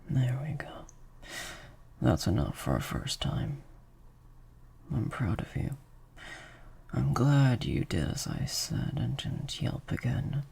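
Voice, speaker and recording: A man playing a slightly sadistic vampire master in an improvised audio roleplay, softly taunting and praising in a breathy, creaky near-whisper. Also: medium pitch, sing-song, heavily acted. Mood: approving, calm. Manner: slow, drawn-out, softly taunting.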